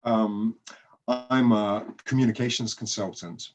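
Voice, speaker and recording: A Scottish man, a guest author speaking in a measured, matter-of-fact way in a casual interview. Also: lowish voice, steady tone, muffled recording. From Greenock, Scotland.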